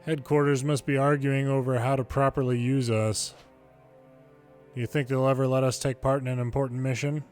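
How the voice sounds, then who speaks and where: A man's voice: mid-range voice, level tone, moderate pace, darkish tone, soft background music. A man reading a video game's story dialogue aloud in English, as a longplay narrator.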